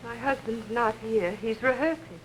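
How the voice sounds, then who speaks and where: An actress playing a conductor's gracious, candid and faintly uneasy wife in a 1950s television drama. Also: female voice, fairly high pitch, normal speed, a little hiss or hum, close to an everyday voice, low-quality recording. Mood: gracious, guarded.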